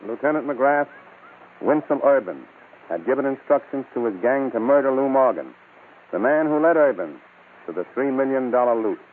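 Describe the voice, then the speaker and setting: An actor playing a formal police commissioner hosting a radio crime story. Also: male voice, medium pitch, animated, moderate pace, natural voice. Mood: formal, intrigued. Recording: tinny old radio recording.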